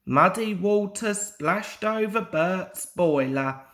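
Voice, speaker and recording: A young man reading a children's story aloud in a deliberate, expressive storybook manner. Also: high voice. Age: young adult. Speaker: amateur storyteller.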